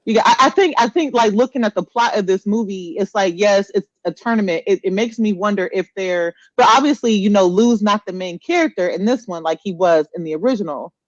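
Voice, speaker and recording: An animated woman talks casually as a podcast co-host, full of slang and exclamations. Her voice sits at an ordinary height, and the sound is dry and a little dull, as if through a modest mic. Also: medium pitch, fairly quick, hardly any pauses, no room sound. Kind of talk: casual chat on a pop culture podcast.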